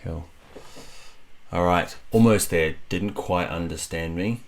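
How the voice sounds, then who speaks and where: Kiwi man, very low deep voice, hushed and rambling, casual web tutorial host. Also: slow pace. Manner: speaks softly, rambling with many fillers. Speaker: web developer. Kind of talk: casual screen-recorded tutorial. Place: home at night while family sleeps. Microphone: computer mic while screen recording.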